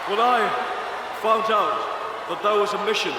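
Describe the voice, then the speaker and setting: A man playing an idealistic, determined space explorer in an audio drama, speaking earnestly and deliberately. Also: high-pitched, roomy sound, long reverb tail, crowd noise, noisy background, lightly acted. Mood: earnest, inspiring. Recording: loud music behind.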